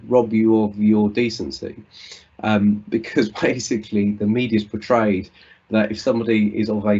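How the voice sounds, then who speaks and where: A man's voice: on the low side, darkish tone, breathy edge, lo-fi sound. A British man giving an earnest, reflective conference talk over a video call, often restarting his sentences. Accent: British English. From United Kingdom. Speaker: conference speaker.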